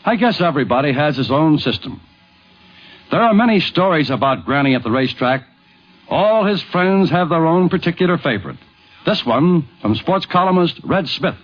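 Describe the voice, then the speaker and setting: A man's voice: fairly high pitch, expressive, normal speed. An American man hosting and narrating a radio documentary. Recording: dull 1950s radio broadcast.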